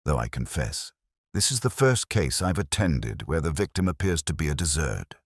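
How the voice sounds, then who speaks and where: A mild police inspector from a mystery story, voiced by a male narrator. He speaks extremely low, soft and understated, with unhurried deadpan irony. The sound is dry. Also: dry recording, full character voice.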